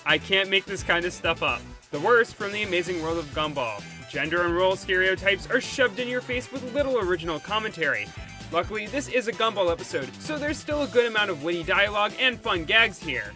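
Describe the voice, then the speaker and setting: A man's voice: high-pitched, lively intonation, fairly quick, over music. A man narrating a scripted, opinionated cartoon review as the host, critical in his delivery.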